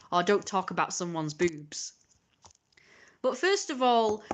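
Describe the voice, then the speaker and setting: A woman streamer ranting in casual live chat. She talks fast and exasperated, with mocking asides that quote other people. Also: medium pitch, a bit bright. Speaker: livestreamer.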